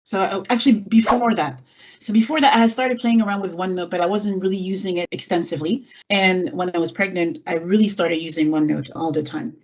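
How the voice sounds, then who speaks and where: A woman's voice: on the low side, fairly even intonation, a little fast. A middle-aged woman who was born in France and grew up in California, answering conversationally as a guest in an online interview. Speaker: guest, executive assistant and software trainer. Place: indoors, online video call. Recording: dull, muffled call audio.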